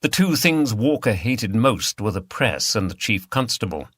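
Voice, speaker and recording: A man's voice: lowish voice, very animated, moderate pace, a bit bright, breathy edge, no room sound, studio quality. A man reading a mystery novel as scripted audiobook narration.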